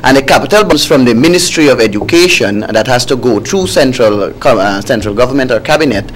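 A man's voice: medium pitch, animated, fairly quick, slight background noise. A young man with a Guyanese accent speaking as a student activist in a panel discussion, emphatic and repeating phrases for stress. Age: young adult.

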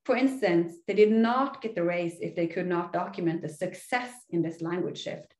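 A woman's voice: on the low side, moderate pace, darkish tone. Norwegian-accented woman lecturing in an echoey room. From Norway. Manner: earnest, explanatory, frequent "uh" fillers. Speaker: university lecturer. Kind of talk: recorded online lecture over slides. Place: small indoor room.

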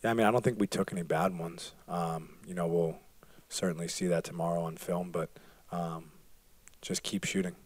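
A man in his thirties, a basketball player answering postgame questions at a press conference, soft-spoken and full of fillers. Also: low voice, steady tone, normal speed, no echo. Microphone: table microphone.

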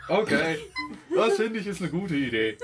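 A man with a very high voice role-plays at a tabletop game. He jokes casually with animated intonation, faint music behind him. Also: moderate pace. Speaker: tabletop player. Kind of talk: casual, joking role-play.

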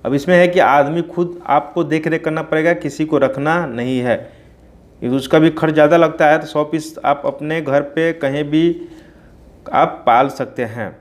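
A man's voice: fairly high pitch, steady tone, moderate pace. A man, hosting an informal explainer and often addressing listeners as friends. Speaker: poultry farming video host. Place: small plain indoor room.

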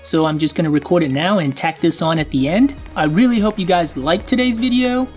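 A woman's voice: lowish voice, a little fast, a little mellow. Chatty lady gamer host, casual outro talk, no pressure, music in the back. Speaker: host of a gaming roleplay channel.

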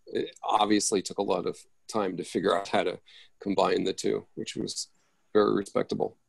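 A man's voice: lowish voice, little pitch movement, moderate pace, gravelly, breathy edge, dry recording. A man giving casual feedback in a group discussion over a video call.

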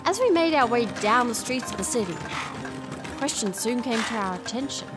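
A woman's voice: on the high side, animated, normal speed, music in the background, close to an everyday voice. A woman playing an earnest, resolute young princess in an audio drama. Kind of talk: audio drama acting and narration.

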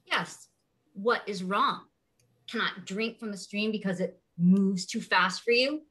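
A woman's voice: mid-range voice, a little slow, a bit bright, close to an everyday voice. A woman playing a mocking animal in a dramatic play reading.

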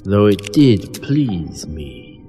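Very deep-voiced, haughty female vampire. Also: very animated, exaggerated voice. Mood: taunting. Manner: slow, theatrical, silky menace. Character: sinister female vampire, haughty and taunting her captive. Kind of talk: audio drama acting. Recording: long cavernous reverb under music.

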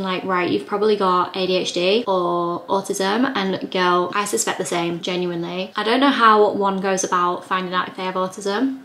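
A woman in her twenties with a slightly croaky voice, chatting casually to camera from her bedroom in a rambling, filler-heavy way. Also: mid-range voice, fairly bright tone. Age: 20s. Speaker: vlogger. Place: bedroom indoors. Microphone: camera at desk distance.

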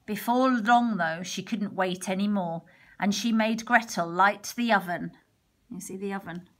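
A woman reading a picture book aloud to young children, expressive, with chatty exclamations and questions along the way. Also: medium pitch, measured pace. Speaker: primary teacher reading a storybook to children. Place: indoors, picture book held over a wooden table.

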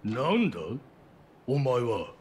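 A man's voice: medium pitch, very animated, slow, darkish tone. A man playing an arrogant, menacing enemy soldier in a Japanese video game, haughty and sneering in a theatrical way. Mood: contemptuous menace.

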